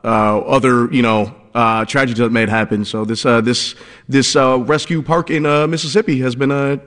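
Halting man, reverberant sound. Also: medium pitch, steady tone, fairly bright tone. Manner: halting, full of fillers and restarts. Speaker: fill-in radio co-host. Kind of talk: casual radio story.

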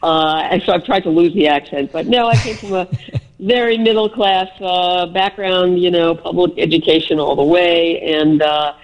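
A woman's voice: fairly low pitch, steady tone, normal speed, low-quality recording. An older woman from the Bronx with a Bronx accent, chatting as a radio interview guest and telling stories with self-deprecating jokes. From the Bronx, New York.

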